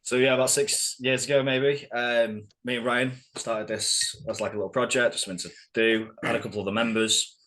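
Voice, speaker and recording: A man's voice: mid-range voice, little pitch movement, a little fast, hardly any pauses, sharp tone, low-quality recording. A man who plays guitar in a band, chatting casually in an interview in a blokey, slangy way.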